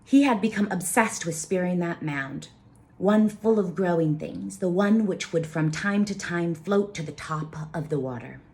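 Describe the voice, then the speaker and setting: A woman storyteller reading aloud with informal asides, halting and careful over names. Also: on the low side, darkish tone.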